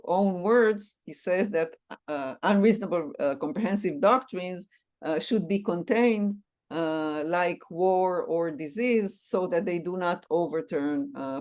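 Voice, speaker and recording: Hesitant woman scholar, lowish voice. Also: darkish tone. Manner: hesitant, many filled pauses. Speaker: author of a book on religion and liberalism. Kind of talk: academic interview discussion.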